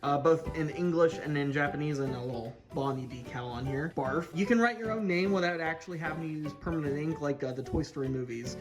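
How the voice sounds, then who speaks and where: A man's voice: on the high side, brisk, few pauses. A man giving a casual hands-on toy review as the host, sharing opinions in a hesitant, meandering way. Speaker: toy collector reviewer hosting an unboxing video. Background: background music.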